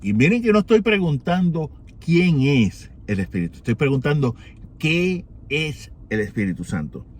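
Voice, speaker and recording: A man from Rico, giving an unhurried reflection for morning prayer, with many pauses and rhetorical questions. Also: on the high side, very animated. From Puerto Rico.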